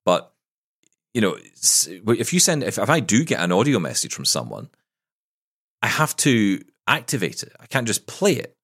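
Deep-voiced man hosting. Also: big pitch swings, normal speed, fairly bright tone, slightly rough. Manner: inquisitive, thinks aloud with restarts. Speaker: podcast host. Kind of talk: conversational interview.

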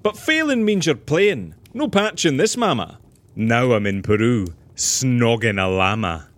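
An actor playing a flamboyant, theatrical boss, declaiming rhymes with showy relish in an audio drama. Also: male voice, mid-range voice, very animated, measured pace, no echo. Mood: showy, amused. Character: flamboyant, theatrical male boss reading cards aloud. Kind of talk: rhyming audio drama acting.

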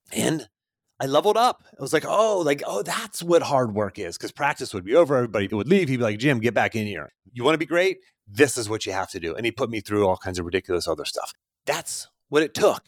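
A man's voice: mid-range voice, big pitch swings, fairly quick, no echo, studio quality. A man in his forties, a podcast host giving an earnest motivational talk straight to the listener. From Pittsburgh, USA. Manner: earnest, coaching, addresses listener directly. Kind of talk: motivational monologue.

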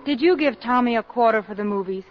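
A woman's voice: a little high, fast, natural voice. A woman acting a practical, teasing wife and mother in a 1950s radio drama, with wry, affectionate nagging. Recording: muffled vintage radio broadcast.

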